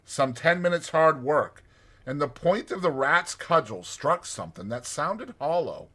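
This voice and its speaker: A man's voice: on the high side, expressive, a little slow, a bit bright. A man reading a classic storybook aloud as a dramatized audiobook narrator.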